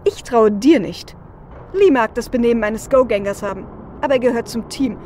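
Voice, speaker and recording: Cyberpunk audio drama: cocky female technomancer, loyal and cutting, highish voice, played by a woman. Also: moderate pace, a little mellow, lightly acted. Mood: defiant. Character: cocky, sharp-tongued female technomancer hacker, loyal to her team. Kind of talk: fan audio drama acting. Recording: faint vehicle and street noise.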